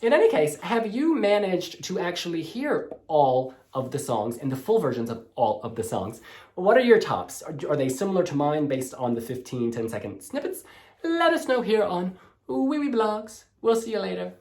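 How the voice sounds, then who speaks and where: A man's voice: high voice, sing-song, moderate pace, darkish tone. A man hosting a music blog video, gushing and sassy as he casually reviews song demos. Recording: roomy echoey room.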